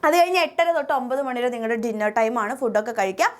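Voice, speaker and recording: Female online teacher, Malayali accent, fast and very lively delivery, directive yet coaxing. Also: fairly high pitch. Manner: directive, coaxing, with frequent check-in tags. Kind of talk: instructional talk to pupils.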